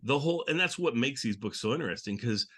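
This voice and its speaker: A man's voice: mid-range voice, animated, fast talker, a bit bright. A man hosting a casual group chat, talking in an enthusiastic, rambling way. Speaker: show host. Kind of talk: casual group chat about old radio.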